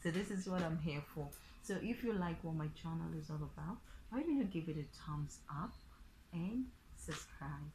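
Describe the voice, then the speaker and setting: A woman's voice: deep voice, animated, moderate pace, noisy background. A woman with a Nigerian accent gives an informal tutorial, explaining step by step and repeating phrases with examples. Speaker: online language teacher and vlogger.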